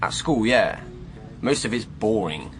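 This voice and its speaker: Sullen English teenage lad, lively pitch. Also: male voice, a little slow, a little hiss or hum. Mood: bored, offhand. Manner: offhand, guarded, clipped. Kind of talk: naturalistic radio drama dialogue.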